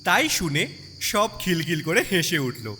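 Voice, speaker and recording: A man narrating a story in an expressive, dramatic storytelling style with sympathy in his delivery. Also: a little high, a little slow. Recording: long reverb, background night insect noise.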